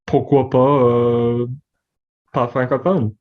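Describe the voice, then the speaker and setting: A man's voice: mid-range voice, flat delivery, takes it slowly, phone-quality sound. A man from Newfoundland and Labrador, a newspaper director, speaking in a hesitant casual conversation and often repeating words. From Newfoundland and Labrador, Canada.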